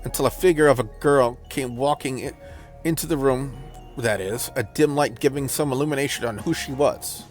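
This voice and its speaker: A man's voice: mid-range voice, normal speed. A man hosting a let's play, reading visual novel narration aloud in a dramatic storytelling style. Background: music.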